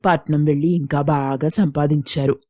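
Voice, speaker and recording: A woman's voice: low voice, steady tone, moderate pace, darkish tone, no echo, clean studio sound. A woman playing a proud, coaxing middle-aged village mother in a moral story, speaking emphatically. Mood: proud, delighted. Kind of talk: Telugu moral story dialogue.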